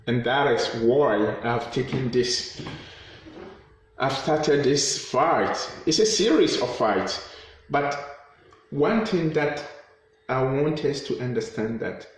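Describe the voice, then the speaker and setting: A man's voice: medium pitch, animated, slow, pauses often. A man with a Ghanaian accent, a campaigner urging listeners to fight corruption in a fervent appeal to camera, repeating phrases for emphasis. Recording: very echoey room.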